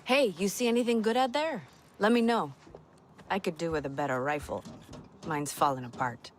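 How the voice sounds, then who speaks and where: An actress playing a tough, world-weary human fighter in a video game, blunt and wry. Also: female voice, fairly low pitch, moderate pace, close to an everyday voice. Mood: casual. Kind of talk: video game dialogue acting.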